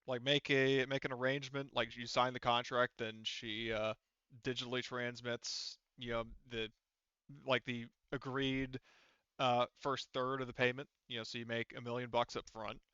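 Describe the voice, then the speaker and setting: A man working as game master, narrating a tabletop roleplaying game in an informal, halting way as he thinks aloud. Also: medium pitch, level tone, sharp tone, clean tone, dry recording, lo-fi sound.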